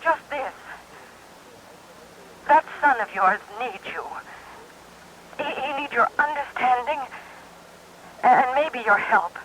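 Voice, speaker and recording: On a faintly hissy old radio recording, an urgent, determined woman. Her highish voice is tense and halting, with an insistent edge typical of radio drama. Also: expressive, a bit bright, heavily acted, low-quality recording. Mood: urgent pleading. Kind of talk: 1950s radio drama acting.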